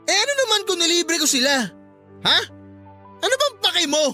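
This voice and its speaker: An actor with a Filipino accent playing a belligerent, abusive husband and father who sneers and shouts in a radio drama. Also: extremely high pitch, sing-song, moderate pace, faint music behind, heavily acted. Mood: belligerent, sneering.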